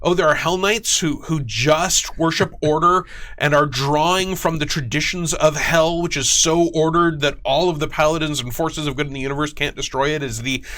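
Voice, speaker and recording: A man speaking as a guest game designer, explaining fictional lore in casual, animated detail and acting out imagined quotes. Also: on the high side, normal speed. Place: studio.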